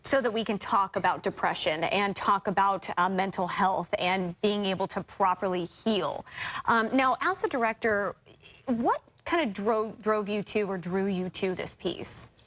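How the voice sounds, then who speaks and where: A woman's voice: mid-range voice, a little slow, a bit bright. A woman speaking as a television morning show host, conducting an upbeat interview.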